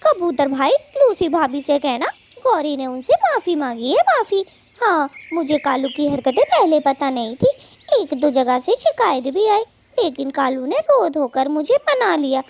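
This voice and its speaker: A tiny, very high voice with lively swoops belongs to a feisty cartoon bird wife. She scolds dramatically and with a sharp tongue, over a noisy recording. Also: unhurried, heavily acted. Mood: firm, indignant. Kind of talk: Hindi children's cartoon story dialogue.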